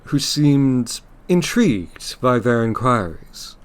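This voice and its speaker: A man's voice: medium pitch, animated, slow, clear, resonant tone. A man narrating an audio drama in a scripted, dramatic storytelling style. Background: music.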